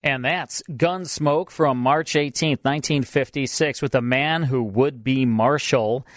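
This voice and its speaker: An American man with a mid-pitched voice hosts a radio show in a conversational style. He is close to the mic in a very dry studio. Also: a little slow, no echo, faint music behind. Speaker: radio host.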